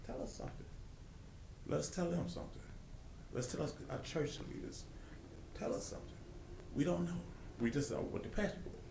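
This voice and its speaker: A gravelly-voiced man pleads from a distance at a council podium, issuing short, clipped demands. The chamber echoes, and noticeable noise hangs in the background. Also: a little high, animated, a little fast, pauses often. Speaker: public commenter. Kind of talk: impassioned public comment.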